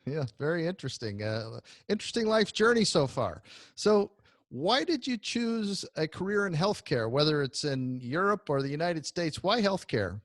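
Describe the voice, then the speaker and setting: A man hosts a radio interview with a friendly, upbeat manner. His voice is fairly high and moves lively up and down. The sound is dry and close. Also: moderate pace, dry recording. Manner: friendly, upbeat, asks questions. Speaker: radio show host. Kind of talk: interview hosting.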